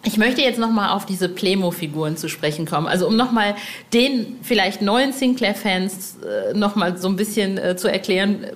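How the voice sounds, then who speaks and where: A woman's voice: medium pitch, normal speed. A woman hosting a casual podcast interview in a playful, effusive and colloquial way. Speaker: podcast host.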